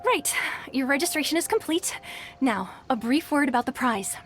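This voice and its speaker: High-voiced, polite game registrar. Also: female voice, expressive, normal speed, fairly bright tone. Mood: polite, businesslike. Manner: hesitant, polite, earnest. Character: young female tournament registrar, polite, flustered, admiring. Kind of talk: video game character acting. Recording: light game music behind.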